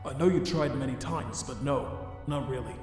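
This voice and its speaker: A man playing a remorseful, self-loathing monster scientist in a comic dub, speaking in a formal, deliberate way. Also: medium pitch, a little mellow, lightly acted. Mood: grave, guarded. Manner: formal, deliberate, grave wording. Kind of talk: dramatic fan comic dub. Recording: very echoey long reverb under music.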